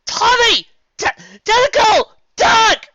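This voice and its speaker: A reader voicing a bold young female unicorn pony who shouts warnings. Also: very high voice, takes it slowly, muffled recording, pitched above the performer's own voice, heavily acted. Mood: shouting.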